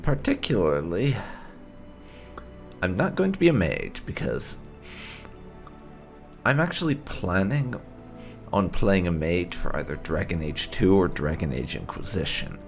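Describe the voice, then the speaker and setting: A man's voice: sits low, sing-song, unhurried, vocal fry, long pauses, faint music behind. A man hosting a gaming video with casual, explanatory playthrough commentary, wandering into emphatic asides.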